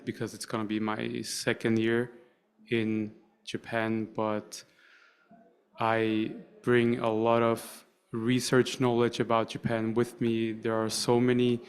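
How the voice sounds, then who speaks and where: A man speaking as a panelist, introducing himself and his work to an audience. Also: mid-range voice. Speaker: panel speaker, creative agency owner.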